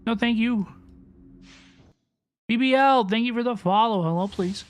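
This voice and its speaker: A woman's voice: a little high, a little slow. A woman hosting a gaming livestream, chatting casually and often repeating phrases for emphasis. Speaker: livestream host. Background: game music.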